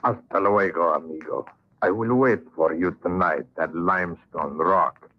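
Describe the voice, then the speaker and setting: A menacing Spanish Don from a radio western, low-voiced and unhurried. He speaks with a Spanish accent and oily, mocking courtesy, on a muffled vintage recording. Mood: gloating. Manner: slow, mock-polite, chuckling menace. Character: gloating Spanish villain, a menacing Don who relishes torture. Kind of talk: old-time radio western acting.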